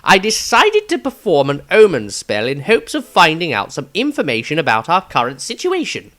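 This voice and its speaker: A man voicing a fussy, eccentric wizard, expressive, wordy and grumbling, in a fantasy diary story. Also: fairly high pitch, normal speed. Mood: animated, worried. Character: fussy, eccentric male wizard, exasperated and animated.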